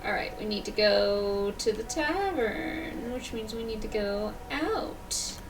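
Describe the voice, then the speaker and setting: A woman's voice: medium pitch, animated, slow, background noise. A woman doing casual live-stream commentary as she plays a video game. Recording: distant mic, faint game music behind. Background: faint game music.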